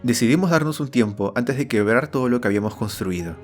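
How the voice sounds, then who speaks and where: A man's voice: mid-range voice, lively intonation, fast talker. A young man giving an earnest, confessional scripted narration of his own life as a storyteller. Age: young adult. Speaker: storyteller and channel host. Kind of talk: scripted autobiographical narration with acted dialogue. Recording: voice over background music.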